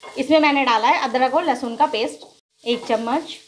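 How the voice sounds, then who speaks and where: High-voiced woman teaching a home recipe. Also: moderate pace, low-quality recording. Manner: patient, instructive, addresses viewers as friends. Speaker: cooking channel host. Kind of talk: step-by-step cooking demonstration. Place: home kitchen with gas stove.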